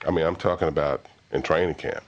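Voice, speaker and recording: A man's voice: a bass voice, fairly even intonation, fast talker, highs cut off, a little hiss or hum. A middle-aged man with an American accent reminiscing in a sit-down interview.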